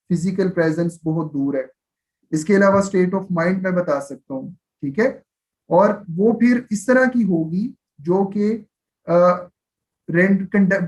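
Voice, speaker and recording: A man's voice: sits high, a little mellow, low-quality recording. A man with a Pakistani accent lecturing on law in a live class, explaining step by step, switching between two languages and often adding short check-in tags. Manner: explanatory, with frequent check-in tags and slow, measured reading of English passages; switches between Urdu and English. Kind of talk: live exam-prep lecture, explaining and reading out statutes.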